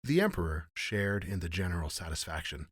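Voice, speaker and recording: Male fairy-tale narrator, lowish voice, theatrical and expressive, close dry recording. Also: brisk, husky, dry recording. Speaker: storyteller.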